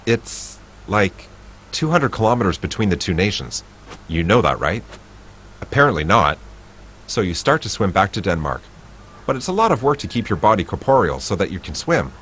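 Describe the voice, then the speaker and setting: A low-voiced man reads a choice-based text game aloud, playful and animated, with his pitch rising and falling a lot. A faint hum of room sound sits under his voice. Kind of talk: reading game text with asides.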